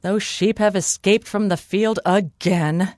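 A woman's voice: mid-range voice, fairly even intonation, slow, a little mellow, dry recording, clean studio sound, lightly acted. Woman voicing a bossy, gullible giant's wife. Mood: puzzled, bustling.

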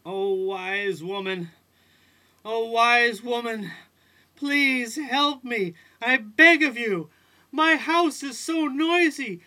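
Brief: a performer as a frazzled, pleading villager, slow and drawn out, bright tone. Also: mid-range voice, lively intonation, exaggerated voice. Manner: pleading and exasperated, with long drawn-out cries. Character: weary man driven mad by noise at home. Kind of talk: acted folktale storytelling.

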